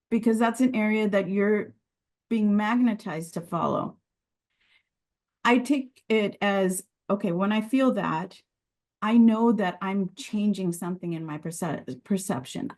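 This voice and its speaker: A woman's voice: mid-range voice, a little mellow. Earnest woman, Sicilian first language. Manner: earnest, reflective, with frequent restarts. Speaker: podcast guest, regulatory and quality director. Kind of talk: conversational interview.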